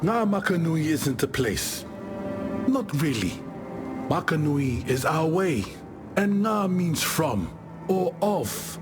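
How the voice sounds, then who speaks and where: Laid-back island warrior chief from a video game, wry storyteller, voice swings up and down a lot, music playing under it. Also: male voice, a little high, sing-song, slow pace. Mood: patient explaining. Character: easygoing warrior chieftain of an island tribe, dead in afterlife. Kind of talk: video game character dialogue. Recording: music behind the voice.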